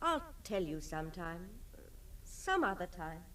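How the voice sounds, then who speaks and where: An actress playing a devoted, perceptive gentlewoman, frank and steadfast, with measured literary phrasing in a ghost-story drama. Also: fairly low pitch, sing-song. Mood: frank, secretive.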